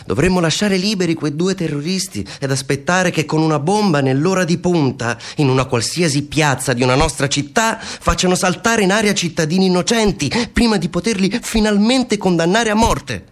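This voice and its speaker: A man's voice: moderate pace, few pauses, natural voice. An actor playing a ruthless zealous man of power in a theatrical radio drama, declaiming in an insistent, rhetorical way. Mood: scornful, insistent. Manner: declamatory, rhetorical, insistent, swelling from low to high.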